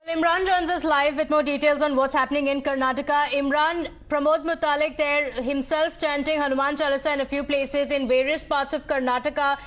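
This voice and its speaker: A woman's voice: high voice, steady tone, a little fast, few pauses, fairly bright tone. A woman with an Indian accent anchoring live news and putting questions to reporters.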